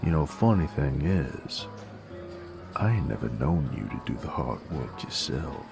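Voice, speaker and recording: Gravelly, deep werewolf gunslinger. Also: male voice, very animated, over music, heavily acted. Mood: taunting. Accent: American Southern. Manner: slow sardonic folksy drawl. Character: gruff male werewolf bounty hunter, drawling Old West gunslinger. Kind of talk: Western audio roleplay acting.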